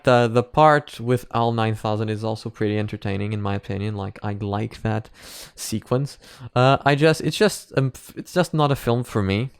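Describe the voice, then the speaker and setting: A young man giving casual reaction commentary, rambling and halting as he thinks out loud. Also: lowish voice, clean tone, no room sound, studio quality. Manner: rambling, halting, informal swearing. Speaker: reaction video host.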